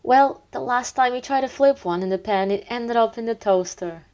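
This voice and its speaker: A woman's voice: a little high, moderate pace, a little mellow, lightly acted. A woman playing a prim, earnest schoolchild with grown-up airs in an audio drama. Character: studious, precocious schoolchild nephew.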